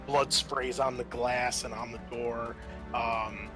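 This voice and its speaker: A man narrating a tabletop horror game as game master, describing things graphically in a halting, casual way. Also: mid-range voice, level tone, music in the background.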